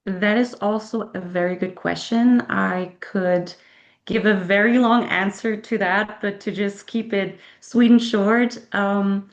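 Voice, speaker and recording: A woman whose first language is German, an author answering interview questions thoughtfully, with frequent fillers and soft laughs. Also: mid-range voice, fairly even intonation, takes it slowly, muffled recording. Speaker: author guest.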